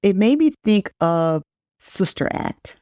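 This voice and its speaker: Playful woman podcast cohost, mellow voice, joking around, very dry close sound. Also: medium pitch, lively intonation, slow pace, clean tone, no echo. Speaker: podcast co-host. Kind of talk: casual banter, reacting to co-host.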